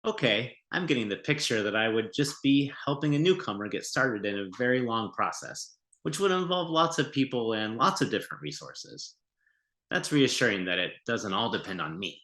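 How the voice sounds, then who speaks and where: A man asks curious questions about a teaching program. His voice is bright and animated, with lively swings in pitch. The room gives it a clear echo. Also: normal speed. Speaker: prospective volunteer. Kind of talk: scripted interview questions. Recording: roomy echo.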